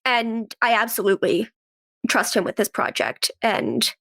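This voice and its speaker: A woman's voice: fairly high pitch, big pitch swings, a little slow, fairly bright tone. A woman speaking informally and reflectively as an interview guest, explaining things through anecdotes. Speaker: podcast guest, writer and actress. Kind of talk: casual interview conversation.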